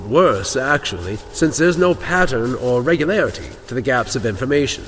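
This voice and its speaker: An actor playing an earnest, scholarly cleric in a fantasy audio drama, explaining things emphatically. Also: male voice, medium pitch, expressive, normal speed, fairly bright tone, soft background music, close to an everyday voice. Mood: emphatic, exasperated.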